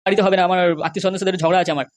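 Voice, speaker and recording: A man voicing a touchy, scheming middle-aged village politician and father in a comic cartoon, blustering and defensive through an altered, pitched-up voice. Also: little pitch movement, very fast. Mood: touchy, firm.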